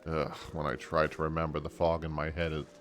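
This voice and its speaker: Man voicing a brooding low-voiced amnesiac warrior. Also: monotone, a little fast, a little hiss or hum. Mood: troubled, musing. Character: brooding amnesiac male warrior, earnest and troubled.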